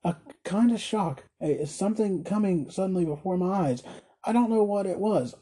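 A man voicing a gentle, shaken young wife in a storybook reading, faltering and soothing. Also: sits high, animated, moderate pace, slightly rough, pitched above the performer's own voice.

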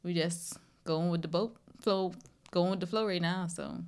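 A woman's voice: on the low side, fairly even intonation, normal speed, a little mellow, clean tone. A woman in her twenties from The Bahamas, chatting casually as a podcast guest. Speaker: podcast guest sharing own experience. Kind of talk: casual chat.

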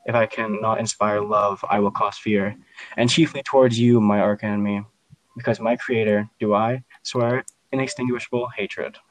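A man's voice: fairly low pitch, steady tone, moderate pace, no echo, lightly acted, rough recording. A man voicing a miserable, spiteful monster who speaks formally and with menace to his maker. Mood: menacing, hateful.

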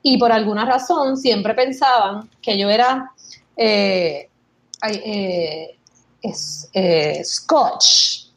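A woman's voice: mid-range voice, drawn-out words. A woman in her thirties with a Puerto Rican accent, telling stories in casual chat and mixing English words into her speech. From Puerto Rico. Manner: lively storytelling, mixing English words into Spanish. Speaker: podcast guest sharing her experience.

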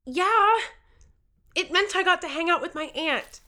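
A woman voicing an earnest, expressive 13-year-old game-show contestant who narrates their own story in an audio drama. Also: very high-pitched, measured pace, noisy background. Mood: pleading.

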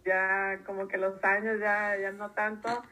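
A woman in her thirties talks in a casual interview setting. She has a northern Mexican accent and a mid-pitched voice, answering as the guest in a conversational style. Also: level tone, a little slow, pushed and bright, faint music behind. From northern Mexico, grew up in Tijuana. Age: 30s.

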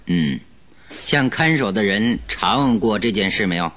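Radio drama detective: composed, decisive, lowish voice with lively intonation, measured probing questions. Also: male voice. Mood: thoughtful, decisive.

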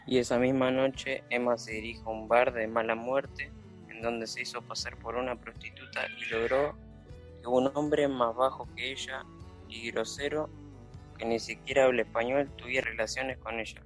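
Flat-toned male storyteller over music. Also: mid-range voice, moderate pace. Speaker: narrator. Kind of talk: scripted radio drama narration.

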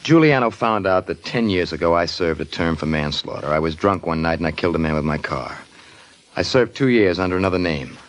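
An actor playing an earnest bank cashier and protective lover, delivered in melodramatic 1940s radio drama style. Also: male voice, low voice, expressive, fairly quick. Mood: confessing, strained.

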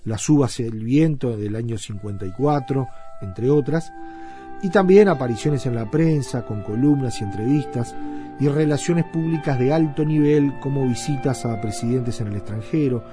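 A man presenting a radio documentary, reading scripted narration in a formal, measured way. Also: medium pitch. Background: music.

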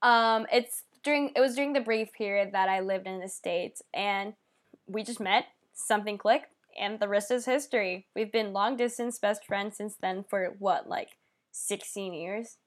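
A woman's voice: mid-range voice, normal speed. A young woman from Japan co-hosting a casual podcast, chatting easily and filling her sentences with "like" and "um". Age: young adult. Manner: chatty, lots of "like" and "um" fillers. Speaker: podcast co-host.

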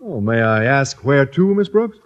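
A man's voice: medium pitch, big pitch swings, moderate pace, highs cut off, natural voice. An actor playing a shy, earnest, polite male teacher, a little hesitant, in a 1950s radio sitcom style.

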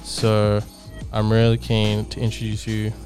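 A man's voice: on the low side, completely flat delivery, measured pace, darkish tone, soft background music. A man hosting a podcast, reading an intro and then chatting in a laid-back, slangy way with lots of fillers. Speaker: podcast host. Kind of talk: scripted intro, then casual interview chat.

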